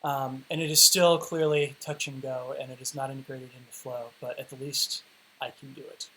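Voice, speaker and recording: A man's voice: mid-range voice, flat delivery, normal speed, sharp tone, rough recording. A man losing his voice, hosting a livestream Q&A and teaching poi spinning in a chatty, rambling way full of fillers. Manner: chatty, rambling explanations with many fillers and restarts. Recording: home livestream with faint hiss.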